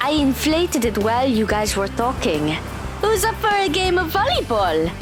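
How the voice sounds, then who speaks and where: A woman playing a brisk, sporty, blunt teenage girl in an audio drama. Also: high voice, sing-song, over loud music. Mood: brisk, challenging.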